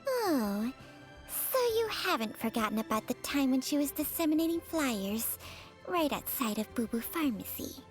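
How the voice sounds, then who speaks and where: A sly little snake spirit chimes in with a high, airy voice that leaps all over. She teases with knowing asides, set a bit back from the mic over faint game music. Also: big pitch swings, normal speed. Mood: teasing. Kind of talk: game voice lines.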